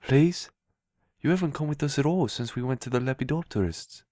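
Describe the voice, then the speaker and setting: A man playing a gentle, childlike, simple-minded young man in an audio drama, speaking in an earnest, polite way. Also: medium pitch, lively intonation, brisk, close to an everyday voice. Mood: hopeful, pleading.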